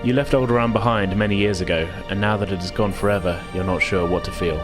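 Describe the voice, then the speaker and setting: A man's voice: fairly low pitch, fairly even intonation, quick pace, over music. A man narrating a tabletop role-playing game as game master, improvising his descriptions.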